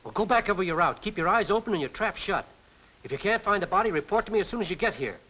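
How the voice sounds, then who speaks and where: Harried, dutiful male official, high voice, quick clip, hissy vintage film sound. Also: expressive. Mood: curt. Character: harried, obedient male official reporting to his superiors. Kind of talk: 1940s film acting.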